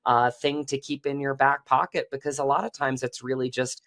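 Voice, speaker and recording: A man's voice: medium pitch, flat delivery, moderate pace, fairly bright tone. A man talking earnestly and effusively in a conversational interview, as an actor who is the guest.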